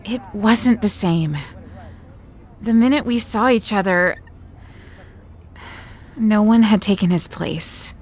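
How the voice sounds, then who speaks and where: A woman playing an anxious, overthinking woman with a fretful, deliberate manner in an audio drama. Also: mid-range voice, a little mellow. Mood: earnest. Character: anxious, overthinking woman hesitant about marriage.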